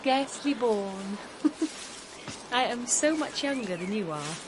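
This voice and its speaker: An actress playing an honest, spirited young woman in a radio drama, breathy and unhurried. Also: a little high, lively intonation, noisy background. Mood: tender. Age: young adult. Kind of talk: radio drama acting, partly narrating.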